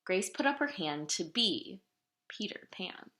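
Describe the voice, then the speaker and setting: A woman reads a picture book aloud with lots of expression. Her pitch moves freely and her tone is bright and clear-cut. The room adds a noticeable echo around her storytelling. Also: measured pace. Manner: animated, dramatic storytelling delivery. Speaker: storybook reader. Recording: roomy, echoing room sound.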